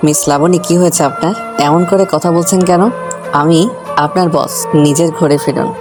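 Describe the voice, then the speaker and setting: A man's voice: fairly high pitch, fairly even intonation, normal speed, music in the background, natural voice. A man playing a reserved, businesslike office boss with a formal, deflecting manner in an audio story. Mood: guarded. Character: reserved, businesslike married male office boss, inwardly torn. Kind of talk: Bengali audio story acting.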